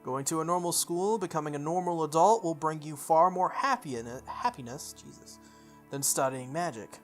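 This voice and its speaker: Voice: medium pitch, animated, moderate pace. A game streamer reading visual novel text aloud, slipping into informal, offhand asides. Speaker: video game streamer doing a playthrough. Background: music.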